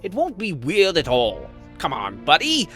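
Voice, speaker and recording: A man voicing a cocky, flippant dragon assassin and family dad in an animated fantasy series, with sarcastic, stammering banter. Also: a little high, a little slow, soft background music, full character voice. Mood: breezy, playful.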